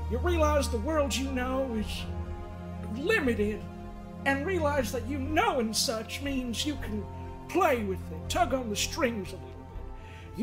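Smug middle-aged cosmic therapist man, played by a woman, mock-stern and folksy, very animated pitch, comedic game dub over prominent music. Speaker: fan dub voice performer. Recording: music under the voice.

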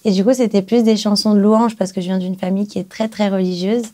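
A young woman from Brazil, a native Portuguese speaker, chatting as a guest singer in a small music studio, relaxed, with many pauses. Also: mid-range voice. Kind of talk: casual interview chat. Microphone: studio mic on stand.

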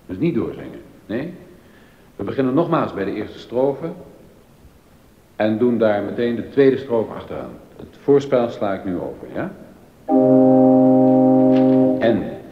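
An actor playing a stubborn, indignant composer and choir director in a docudrama, with an emphatic, commanding, formal delivery. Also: male voice, fairly low pitch, sing-song, measured pace, long pauses, soft background music. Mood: instructing, firm. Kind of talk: Dutch docudrama acting. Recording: dull, lo-fi sound.

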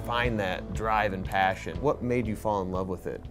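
A young man talking earnestly and casually about questions for the people he meets. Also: lowish voice. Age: young adult. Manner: earnest, eager, quick questions. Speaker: roadtripper. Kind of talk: casual reflective chat. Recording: music bed under voice.

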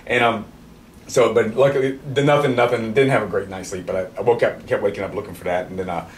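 Middle-aged man, animated storyteller, swears a lot, roomy echo, distant mic, faint hiss. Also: lowish voice, quick pace. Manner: animated, excitable, swears freely, many restarts. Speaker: podcast host. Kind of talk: casual chat, storytelling. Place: indoor studio with camo backdrop, at a table.

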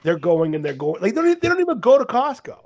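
A man's voice: high voice, sing-song, quick pace, a little mellow, slightly rough, lo-fi sound. A man co-hosting a casual podcast, rambling with lots of "like" and "dude" as he spins a story. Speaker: podcast co-host.

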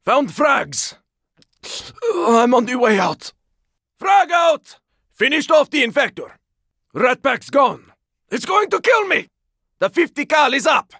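A battle-weary man fighting through a zombie apocalypse in a video game. His voice is pitched very high for a man and is sharp and tense, with a rough rasp. He throws out short, forceful callouts in a close, dry recording. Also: sing-song, slow pace, long pauses, dry recording, full character voice. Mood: urgent, battle-ready. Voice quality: raspy, gravelly. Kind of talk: video game combat barks.

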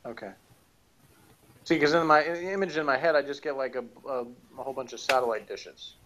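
A man's voice: mid-range voice, fairly quick, fairly bright tone, rough recording. A middle-aged man co-hosting a casual chat from his home office, curious and full of questions, laughing easily. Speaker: podcast co-host.

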